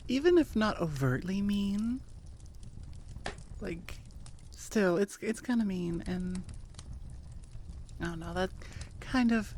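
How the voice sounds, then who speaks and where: A woman talks casually to the listeners of her audio channel. Her delivery is informal and rambling, with a self-effacing manner. A faint noise sits behind the voice. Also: mid-range voice. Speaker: audio roleplay creator. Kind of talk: casual, chatty aside to listeners.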